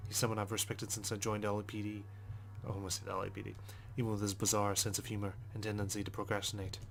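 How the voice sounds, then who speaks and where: A man reads a visual novel aloud as a playthrough host. His voice is low and fairly flat, brooding and free with swearing, dropping into casual asides over faint music. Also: quick pace, gravelly. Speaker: let's play host.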